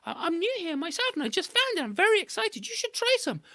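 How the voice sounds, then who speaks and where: A storyteller voicing a sly male trickster spider who coaxes and sweet-talks in an eager, stammering, squeaky voice. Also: sing-song, fast, pushed and bright, dry recording, heavily acted. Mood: wheedling.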